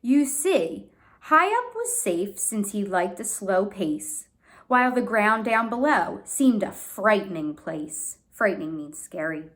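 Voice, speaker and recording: A woman reading a storybook expressively to young children, pausing to explain simply and ask questions. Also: mid-range voice, sing-song, a bit bright. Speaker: read-aloud teacher for young children.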